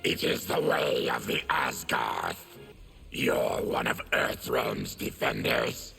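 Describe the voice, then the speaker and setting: An actor playing a bitter, proud, vengeful mutant ex-soldier with a gravelly growl, in formal, menacing fighting-game dialogue. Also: male voice, a bass voice, slow pace, sharp tone, soft background music, full character voice. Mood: bitter, grim.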